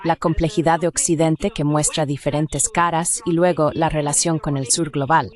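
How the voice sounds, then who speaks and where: A woman's voice: low-pitched, steady tone, moderate pace, a little mellow. A woman speaking as an academic expert guest in an interview, explaining things in an analytical, discursive way. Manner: analytical, discursive, with rhetorical check questions.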